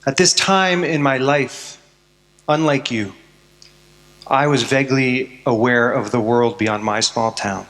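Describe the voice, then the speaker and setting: A man's voice: mid-range voice, slow pace, a bit bright. Man giving a school talk in a hall. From Canada. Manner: earnest, storytelling, self-deprecating. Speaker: speaker addressing students. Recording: long hall reverb.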